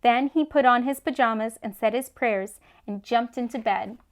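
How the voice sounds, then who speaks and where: A woman reading a children's story aloud with expressive storybook narration. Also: a little high, moderate pace. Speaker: children's read-aloud host.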